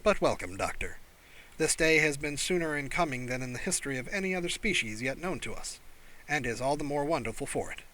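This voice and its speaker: A man's voice: a little high, animated, brisk, bright, forceful tone, poor audio. Man voicing a stately alien ambassador. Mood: formal, welcoming. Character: alien ambassador, formal, principled senior diplomat.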